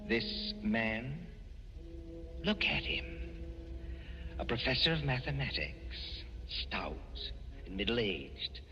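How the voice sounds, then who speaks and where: An actor as a suave, ominous male narrator of a 1940s radio suspense tale, measured, wry and dramatic. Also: mid-range voice, big pitch swings, pushed and bright, exaggerated voice. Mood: wry, storytelling. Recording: muffled, reverberant old radio recording. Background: dramatic music.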